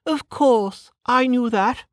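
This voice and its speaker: A woman's voice: on the high side, slow pace, no room sound, clean studio sound, voice pushed up. A woman voicing a prim, snobbish noblewoman in an audiobook, with a testy, proper manner. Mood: haughty, defensive. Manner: haughty, sniffing, proper. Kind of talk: audiobook character acting.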